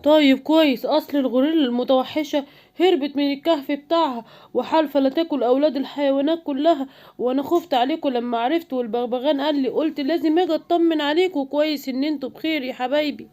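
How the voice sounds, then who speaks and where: Father lion for a kids' cartoon, voiced by a woman: lowered from her usual, gruff, nosy, protective, brisk. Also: mellow, close to an everyday voice. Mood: relieved, caring. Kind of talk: children's cartoon story.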